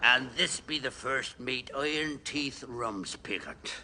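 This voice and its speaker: An actor playing a gossipy, ominous old sailor with a West Country accent, relishing melodramatic warnings in a 1960s radio comedy. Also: male voice, mid-range voice, slow, very noisy, lightly acted. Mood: sly, matey. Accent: stage West Country. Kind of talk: 1960s BBC radio comedy sketch acting.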